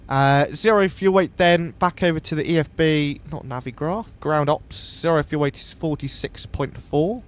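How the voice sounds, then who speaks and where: A man with a sniffly voice from a coming cold, calmly thinking aloud step by step as he streams a flight simulator session. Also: a little high, moderate pace, hardly any pauses. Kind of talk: casual live walkthrough of a flight simulator.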